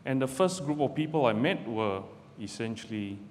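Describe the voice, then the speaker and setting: A middle-aged man from Malaysia, a barrister giving a measured, deliberate public talk to students from a podium in a lecture hall. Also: mid-range voice, expressive. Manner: measured, deliberate delivery with pauses. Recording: roomy hall with long reverb, faint background noise.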